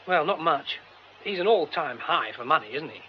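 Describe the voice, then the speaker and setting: An actor playing an excitable, romantic young British theatre man in a radio drama, exclamatory and effusive, often breaking off mid-thought. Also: a little high, moderate pace, close to an everyday voice. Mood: earnest. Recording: dull, faintly noisy radio recording.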